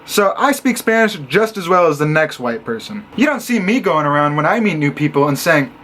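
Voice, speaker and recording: A young man from Illinois, a college student, telling stories in a casual vlog with animated sarcasm. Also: fairly high pitch, brisk. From Illinois, USA. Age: young adult. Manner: animated and sarcastic, acting out others' lines.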